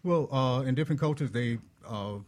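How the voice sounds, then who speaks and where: A man speaking as a guest expert, explaining ideas in a reflective, measured, philosophical manner. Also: medium pitch, darkish tone.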